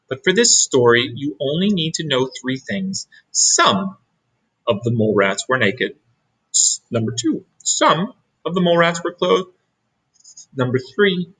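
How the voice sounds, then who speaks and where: A middle-aged man giving an expressive read-aloud of a children's storybook. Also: medium pitch, slightly rough, rough recording. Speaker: storybook reader.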